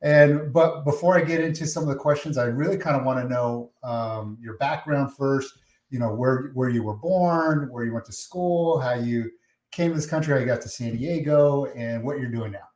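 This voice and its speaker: A man's voice: medium pitch, darkish tone. Guy hosting a podcast, lots of ums, roomy echo. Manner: friendly, halting with many fillers. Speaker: podcast host. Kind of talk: casual interview. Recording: echoey room.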